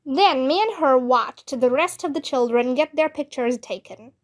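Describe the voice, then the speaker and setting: A woman reading a children's book aloud as narrator, with expressive, deliberate delivery. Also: high-pitched. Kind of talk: scripted storybook narration in a child's first-person voice.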